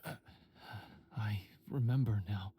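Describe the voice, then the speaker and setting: An actor playing a bitter, remorseful young man in a video game, speaking in a halting, confessional manner. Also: lowish voice, mellow, slight background noise. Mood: dazed, confused. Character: remorseful, weary young man, duelist hunter's bitter son. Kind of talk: scripted game dialogue acting.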